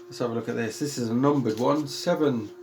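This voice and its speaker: A British man hosting a casual record unboxing in a calm, soothing voice with a gravelly edge. He rambles along at a middling pitch, stopping to correct himself and filling the gaps with ums. A faint hiss sits under his voice. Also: a little fast. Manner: rambling and chatty, with many ums and self-corrections. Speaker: vinyl collector and channel host.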